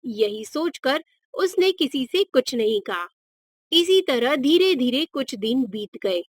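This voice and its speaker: A woman's voice: high-pitched, expressive, slow, no echo, clean studio sound. A woman narrating a scripted moral story for children as a storyteller.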